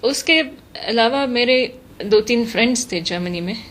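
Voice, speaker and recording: A woman giving measured, thoughtful interview answers, mixing English terms into her speech. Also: on the high side. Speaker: interview guest, translator. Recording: noticeable steady background noise.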